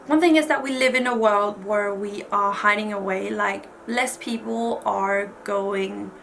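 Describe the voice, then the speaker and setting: A woman's voice: mid-range voice, unhurried, gravelly, fairly bright tone, a little hiss or hum. A woman, giving frank, chatty advice to camera with lots of "like" fillers. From Denmark. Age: 20s. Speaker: vlogger. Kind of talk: casual advice talk to camera.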